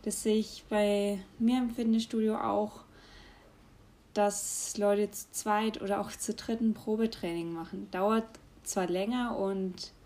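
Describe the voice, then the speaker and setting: A young woman speaks in a relaxed, unhurried way. She chats casually, with fillers and small laughs. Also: mid-range voice. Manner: chatty, laughs often, hesitant fillers. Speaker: vlogger. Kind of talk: casual advice talk.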